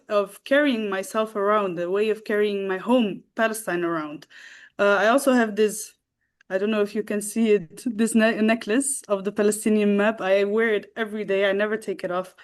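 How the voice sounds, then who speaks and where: A young woman from the Netherlands, a guest telling a personal story in a halting way, with many fillers and restarts. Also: mid-range voice. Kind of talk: casual storytelling.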